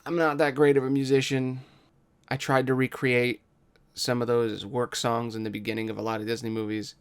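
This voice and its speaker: A man's voice: medium pitch, little pitch movement, moderate pace, smooth. A man narrating a scripted story as podcast host.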